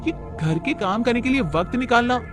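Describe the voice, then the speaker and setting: A man's voice: very high-pitched, lively intonation, brisk, over music, pitched above the performer's own voice. A man voicing a defiant, fed-up young working daughter-in-law who argues and complains in a cartoon moral story. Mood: argumentative. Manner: complaining, argumentative.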